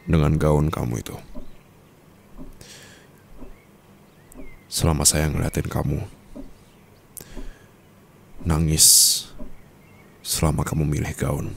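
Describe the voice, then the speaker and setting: A man acts out a tender, teasing male mafia boss for an ASMR roleplay, with an Indonesian accent. His voice sits extremely low with a heavy fry, soft and measured, pausing often. Faint noise and ambience lie behind him. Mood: tender, earnest. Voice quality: very deep, creaky voice. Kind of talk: ASMR roleplay acting, intimate and slow.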